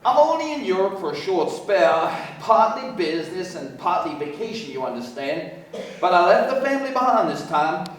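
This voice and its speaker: A man's voice: high voice, very animated, moderate pace, far from the mic. An actor playing a brash, folksy American businessman in a radio play, talkative and slangy. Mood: chatty, boastful. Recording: very echoey room, off mic.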